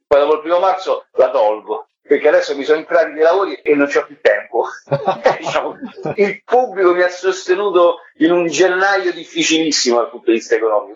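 A man's voice: medium pitch, breathy edge. A man speaking as a guest in a casual interview, with an informal, rambling, colloquial way of talking. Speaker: guest, stage storyteller. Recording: dull, lo-fi radio audio.

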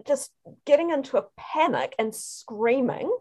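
Enthusiastic New Zealand woman, higher voice. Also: animated, measured pace, a little mellow. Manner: enthusiastic storyteller. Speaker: guest researcher. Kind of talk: casual interview over video call.

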